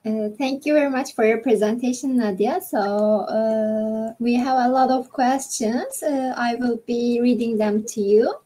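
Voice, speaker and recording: A woman moderates a webinar over a video call and reads out audience questions. Her voice is high and mellow, and she speaks slowly, with care and politeness. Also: rough recording. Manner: slow, careful and polite.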